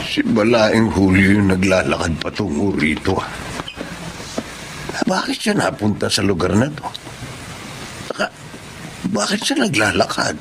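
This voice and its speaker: Casting: chatty, inquisitive older dad for TV drama, lively intonation, faint ambient noise. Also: male voice, fairly low pitch, unhurried, vocal fry, long pauses, heavily acted. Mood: puzzled. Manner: chatty, questioning. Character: friendly, inquisitive father of the groom. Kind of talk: Filipino drama acting.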